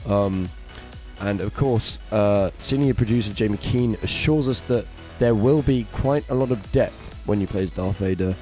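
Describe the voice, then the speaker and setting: Hoarse man chatting games news. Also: fairly low pitch, normal speed, mellow, faint music behind. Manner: enthusiastic, chatty, hesitant fillers. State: raspy throat. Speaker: co-host of gaming news show. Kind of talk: casual news roundup chat.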